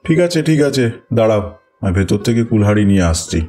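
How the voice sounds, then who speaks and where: A man's voice: fairly low pitch, lively intonation, fast talker, warm tone, soft background music, lightly acted. A man voicing a mild, hesitant village householder in a cartoon. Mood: agreeable. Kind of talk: Bengali cartoon voice acting.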